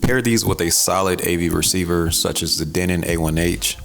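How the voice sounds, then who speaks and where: American male reviewer, extremely low gravelly voice, casual and colloquial delivery over music. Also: fairly quick. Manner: conversational, colloquial. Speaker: audio gear reviewer and host. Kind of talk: casual product review narration.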